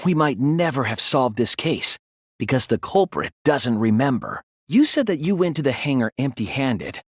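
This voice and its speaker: Young student detective, earnest, analytical, slightly breathy husky tone, expressive swings in pitch, deliberate explaining, video game dialogue. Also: male voice, dry recording, clean studio sound. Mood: measured reasoning. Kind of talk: scripted video game voice acting, deduction speeches.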